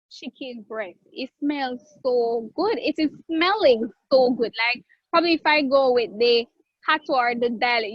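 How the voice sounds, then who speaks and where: High-voiced Jamaican woman chef. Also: dry recording, highs cut off. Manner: chatty, drawn-out phrases, frequent self-restarts. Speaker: guest chef. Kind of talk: live online cooking class Q&A.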